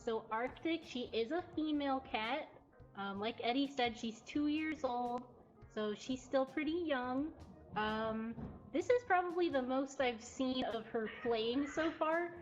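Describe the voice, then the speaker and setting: A woman's voice: high-pitched, a little slow. A woman presenting in a casual, informal way on a livestream from a cat cafe. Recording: dull lo-fi audio, faint music. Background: faint background music.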